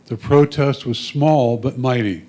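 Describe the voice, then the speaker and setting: A man's voice: medium pitch, measured pace, warm tone. A man with a mid-range voice reads out descriptions of photographs. His delivery is plain and matter-of-fact, like someone presenting captions to a club. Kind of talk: read-aloud photo descriptions.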